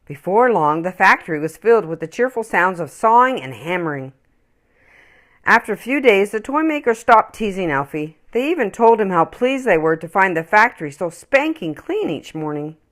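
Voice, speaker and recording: A woman reading a children's story aloud with expressive, emphatic, dramatic phrasing. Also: mid-range voice, moderate pace.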